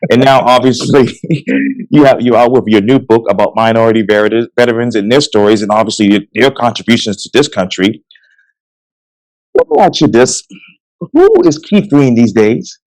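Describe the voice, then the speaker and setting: A man hosting an interview, asking questions briskly and often saying "obviously", over a video call. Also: medium pitch, animated, darkish tone, dry recording, low-quality recording. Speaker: interview host.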